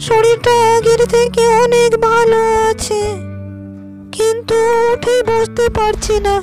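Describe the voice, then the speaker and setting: Woman voicing a high, plaintive ailing older mother. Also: completely flat delivery, takes it slowly, distant mic, over music. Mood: weary, plaintive. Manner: plaintive, yielding. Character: ailing, weary, loving older village mother. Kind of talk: animated moral-tale cartoon dub.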